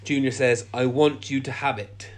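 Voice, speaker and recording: A man's voice: medium pitch, steady tone, moderate pace, a crowd in the background. A man with a blocked-up nose from a cold, casually recapping a film plot with many fillers. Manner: recounts plot with many fillers, acts out quotes. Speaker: podcast co-host.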